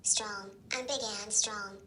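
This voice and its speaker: A woman's voice: mid-range voice, fairly even intonation, slow pace, pushed and bright. A synthetic woman's voice on a speech device, echoing key words in clipped, separate pieces. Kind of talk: synthetic word-by-word read-out.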